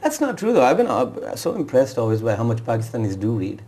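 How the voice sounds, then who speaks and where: A man's voice: medium pitch, sing-song, warm tone. A man being interviewed as an author on a TV talk show, speaking in a hesitant way with frequent restarts. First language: Urdu and Punjabi speaker. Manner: fast, hesitant, with many restarts. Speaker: author guest. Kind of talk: TV interview conversation.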